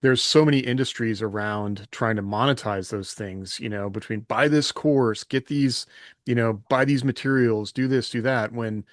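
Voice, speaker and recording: A man's voice: mid-range voice, normal speed, no room sound, studio quality. A man co-hosting a podcast, talking casually in an earnest, conversational manner. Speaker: podcast co-host and writer. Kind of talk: casual chat.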